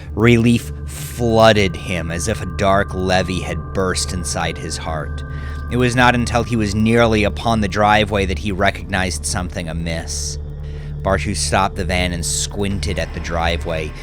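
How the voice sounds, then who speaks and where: A man narrating a dramatic scripted audiobook, with deliberate, measured phrasing. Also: low voice. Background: background music.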